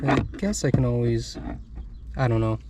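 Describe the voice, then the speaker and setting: A man's voice: mid-range voice, normal speed, heavy background noise. A man talking casually while installing a radio in his truck, thinking aloud with plenty of fillers. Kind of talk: casual how-to vlog narration. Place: inside a pickup truck cab.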